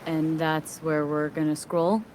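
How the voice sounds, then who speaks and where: Wry, low-voiced young adventurer over rumbling noise. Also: female voice, little pitch movement, a little slow, darkish tone, low-quality recording. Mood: questioning.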